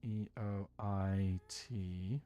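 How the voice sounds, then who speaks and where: A man's voice: deep voice, fairly even intonation, extremely slow, warm tone, clean tone, no echo. A man giving easygoing, musing commentary while he plays a game as a streamer. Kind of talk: casual gaming commentary.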